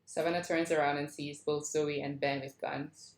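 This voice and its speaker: A woman's voice: low-pitched, flat delivery, moderate pace. A woman reading screenplay stage directions as a narrator at a table read. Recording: echoey room.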